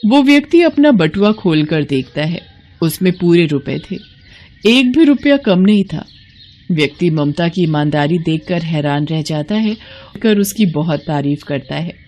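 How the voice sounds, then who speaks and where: A woman's voice: fairly low pitch, expressive, a little slow, a little mellow. A woman reading scripted narration as storyteller of a children's moral tale.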